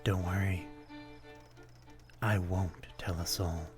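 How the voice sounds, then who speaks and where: A man playing a courtly, melancholy vampire stranger in an intimate ASMR roleplay, speaking in a formal, old-fashioned, gently wistful way. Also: low voice, fairly even intonation, slow pace, music in the background. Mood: courteous, teasing.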